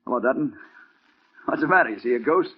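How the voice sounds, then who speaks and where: A man's voice: medium pitch, lively intonation, fast talker, husky. An actor playing a driven, hard-boiled male investigator in a vintage radio noir drama, urgent and clipped, telling his own story. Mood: brooding, intense. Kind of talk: 1950s radio noir acting with first-person narration. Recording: noisy, muffled vintage radio recording.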